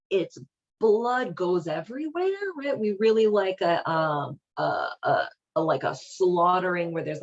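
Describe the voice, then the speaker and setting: A woman giving casual, irreverent commentary as a host, with a sardonic, halting, colloquial delivery. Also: fairly low pitch, highs cut off. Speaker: host of a video series on leaving religion.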